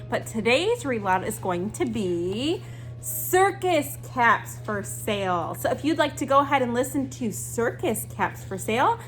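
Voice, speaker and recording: Female children's storyteller, high voice, very animated delivery, deliberate phrasing. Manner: expressive, deliberate phrasing for young listeners. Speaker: storyteller reading a picture book aloud. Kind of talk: children's read-aloud narration.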